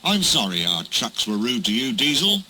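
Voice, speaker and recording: A man's voice: medium pitch, sing-song, normal speed, sharp tone, slight background noise, exaggerated voice, low-quality recording. A man doing a grave little steam engine. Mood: apologetic. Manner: grave, dry. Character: sensible, dignified male steam engine.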